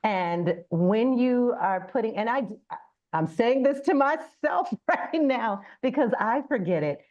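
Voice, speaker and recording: Woman coaching business owners, slightly muffled audio. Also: mid-range voice. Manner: conversational, illustrates points with acted-out examples and rhetorical questions. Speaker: guest speaker, small business coach. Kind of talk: informal business presentation.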